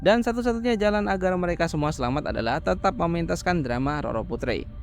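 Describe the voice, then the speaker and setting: A man narrating a horror film recap in a casual, brisk way, dropping slangy joking asides between plot beats. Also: a little high, lively intonation, vocal fry, faint music behind.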